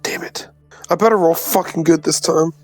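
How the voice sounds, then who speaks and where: A man's voice: on the high side, moderate pace. A brash, sweary man playing a tabletop roleplaying game, casually saying what his character does. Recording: music behind the voice.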